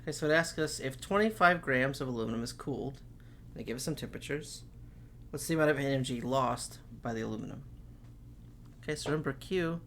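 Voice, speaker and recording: Gravelly-voiced man losing his voice. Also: medium pitch, fast talker, fairly bright tone, slight background noise. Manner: talks through problems step by step, saying okay often. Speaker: chemistry teacher. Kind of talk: recorded classroom lecture.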